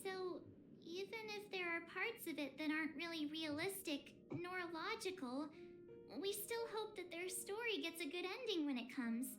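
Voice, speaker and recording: A woman's voice: very high-pitched, lively intonation, normal speed. A woman voicing an earnest, wistful young girl in a video game, with a girlish, reflective delivery. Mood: earnest, troubled. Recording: game audio under loud music.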